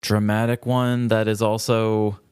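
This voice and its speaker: A man's voice: on the low side, flat delivery, measured pace, darkish tone, clean tone, no echo. A man co-hosting a casual podcast, chatting and then reading out a wry personal essay in a dry storytelling manner. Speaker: podcast co-host. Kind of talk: casual chat and read-aloud essay.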